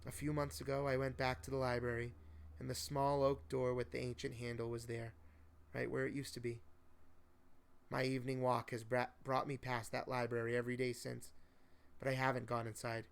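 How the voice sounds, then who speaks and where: Gravelly man narrating a horror story. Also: mid-range voice, level tone, fairly quick. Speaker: host reading horror stories. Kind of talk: dramatic first-person story narration. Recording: noticeable faint background noise.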